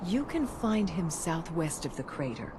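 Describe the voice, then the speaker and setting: A woman's voice: fairly low pitch, sing-song, unhurried, noisy background. A woman playing a solemn, cryptic priestess of a cult in a video game, speaking formally and reverently. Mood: solemn, cautioning. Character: solemn female cult priestess guarding holy ground.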